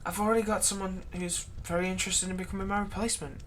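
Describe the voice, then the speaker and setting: A woman playing a long-serving, exasperated human servant of a vampire in a dramatic roleplay monologue, halting and sardonic. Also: mid-range voice, little pitch movement, a little hiss or hum, close to an everyday voice. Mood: persuading. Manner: conversational, halting, sardonic, emphatic when aggrieved. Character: long-serving human thrall, exasperated, pleading, turning menacing toward vampire master. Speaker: roleplay audio performer.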